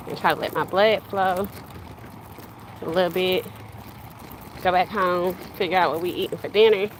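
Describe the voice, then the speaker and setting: Woman in her thirties, rambling casual livestream talk, walking outdoors, phone mic, noticeable street noise. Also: on the low side. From Bahamas. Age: 30s. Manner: rambling, conversational, addresses viewers directly. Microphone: handheld phone.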